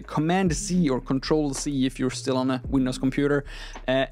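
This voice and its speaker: A young man, a non-native English speaker, hosting a conversational software tutorial with an informal, step-by-step explanatory manner into a close microphone. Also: on the high side. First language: not English. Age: young adult. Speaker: tutorial host. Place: small room at a desk, indoors. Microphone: close microphone on an arm.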